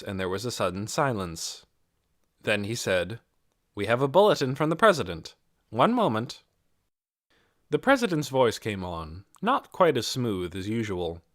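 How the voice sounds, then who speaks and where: An audiobook narrator reading a novel as scripted literary narration. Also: mid-range voice.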